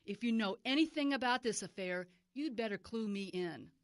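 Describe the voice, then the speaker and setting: Highish female voice, distraught mother, demanding, indignant, audio drama, very dry sound. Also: animated, normal speed, no room sound. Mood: distressed, demanding. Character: anxious, indignant mother of kidnapped daughter. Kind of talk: modern audio drama acting.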